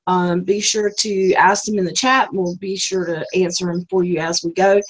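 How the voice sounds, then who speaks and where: Female live-stream host, lower voice, casual and filler-heavy, voice going hoarse. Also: little pitch movement, moderate pace, low-quality recording. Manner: casual, rambling, many ums. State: losing her voice. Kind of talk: live-stream business talk.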